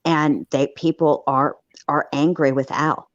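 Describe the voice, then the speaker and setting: A woman with a slightly low voice, recorded close and dry without room sound. She comments as a co-host, casual but emphatic and analytical. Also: steady tone, a little slow, no room sound, highs cut off. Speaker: co-host of a true crime recap show.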